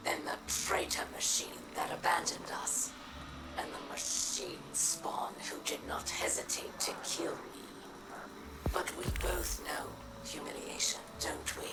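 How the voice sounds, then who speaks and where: Over loud music, a bitter, menacing alien warlord from a video game. Her voice is a gravelly, breathy hiss that swoops widely. She delivers her accusations slowly and deliberately. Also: lowish voice, exaggerated voice, rough recording. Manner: slow, deliberate, accusatory speech. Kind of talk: video game dramatic monologue.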